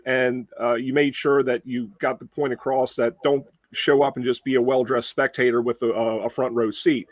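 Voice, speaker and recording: A man's voice: mid-range voice, level tone, moderate pace, few pauses, dry recording. A man with a Mid-Atlantic Delmarva accent hosting a casual firefighter webcast, chatty with lots of uh and um. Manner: chatty, many fillers. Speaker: webcast host.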